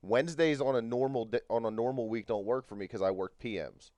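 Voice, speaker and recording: A man's voice: mid-range voice, brisk, darkish tone. A man in his thirties chatting casually on a livestream, rambling with many fillers. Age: 30s. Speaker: co-streamer.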